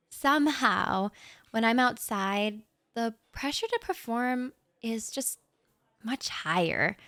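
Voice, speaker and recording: A woman's voice: fairly high pitch, dry recording, studio quality, heavily acted. A woman playing a shy, giggly young writer. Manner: soft, giggly, a little nervous and rambling. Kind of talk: audio roleplay.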